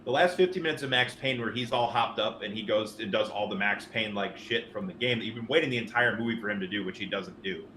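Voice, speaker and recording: Bright-voiced rambling man in an echoey room. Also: lowish voice, fast talker, few pauses. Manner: rambling, animated, profane, opinionated. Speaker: podcast co-host. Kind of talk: casual film and game discussion. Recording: roomy echo with background noise.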